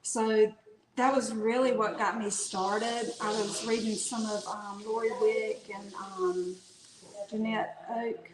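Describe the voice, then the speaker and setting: A woman's voice: a little high, slow pace, a crowd in the background. A woman from North Carolina with a Southern accent, an author chatting casually as an interview guest. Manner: relaxed, chatty, with fillers and restarts. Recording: echoey room.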